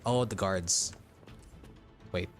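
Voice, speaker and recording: A man's voice: sits low, extremely slow. A man with a Filipino accent giving casual live gameplay commentary and chatting with viewers, rambling and repeating his phrases. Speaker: game streamer. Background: faint game music.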